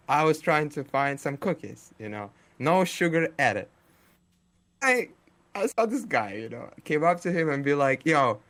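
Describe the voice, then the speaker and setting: Guy cohosting, jokey and full of slang, voice bounces all over. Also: a little high. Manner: lively, joking, slangy. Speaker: podcast host. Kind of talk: casual chat.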